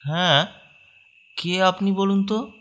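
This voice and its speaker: A man's voice: high-pitched, slow pace, sharp tone, full character voice. A man playing a frightened young villager in a horror cartoon. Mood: uncertain, questioning. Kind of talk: animated horror cartoon dialogue. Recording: long reverb under music.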